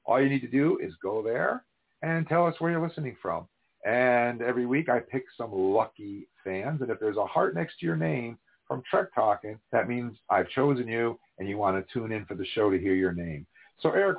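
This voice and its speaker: A man's voice: mid-range voice, vocal fry. Upbeat male podcast host, phone-thin sound. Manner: upbeat, enthusiastic host patter. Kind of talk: casual chat. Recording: tinny phone-like audio.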